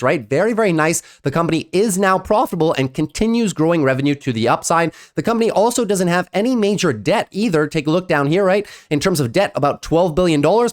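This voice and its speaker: A young man with a stuffy cold, giving an upbeat daily stock market recap and calling the listeners "guys". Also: a little high. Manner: upbeat, keeps saying "guys". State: congested from a cold.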